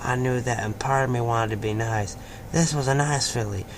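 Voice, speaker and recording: A man's voice: medium pitch, moderate pace. A man narrating a story aloud in an informal, amateur way, sometimes stumbling over words. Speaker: fan fiction reader. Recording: clearly noisy amateur home recording.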